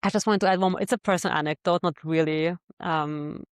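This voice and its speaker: A woman co-hosting a podcast, telling stories in animated casual talk with many fillers. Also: on the low side, quick pace, smooth, dry recording, clean studio sound. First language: German. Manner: animated storyteller, laughs easily, many fillers. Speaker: podcast co-host. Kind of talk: casual chat.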